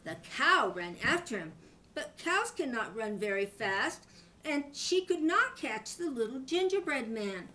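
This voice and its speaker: An older woman, a grandmother, reading a children's story aloud in an animated style. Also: high voice, unhurried.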